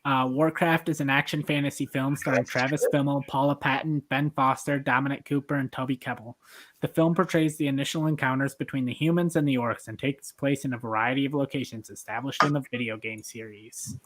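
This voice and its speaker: A man with a middling pitch talks casually as a podcast host and judge. His delivery is conversational and informal. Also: steady tone, brisk, fairly bright tone, poor audio.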